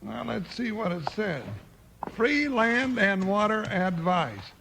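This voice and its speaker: A comic cowboy deputy with a very high-pitched, gravelly voice. He is folksy, excitable and teasing, a big jolly sidekick from old-time radio, on a noisy, clicky recording. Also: takes it slowly, full character voice. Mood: amused, teasing. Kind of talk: 1950s radio drama acting.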